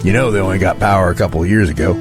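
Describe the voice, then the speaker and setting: A man playing a steady, rugged scout in an audio drama, speaking in a measured, matter-of-fact way. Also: deep voice, loud music behind the voice, lightly acted. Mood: weary, resolute.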